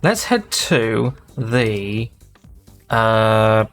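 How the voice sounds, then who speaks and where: A man's voice: on the low side, very animated, extremely slow, a bit bright, faint music behind. A man hosting his gaming channel, rambling and thinking aloud in casual gameplay commentary.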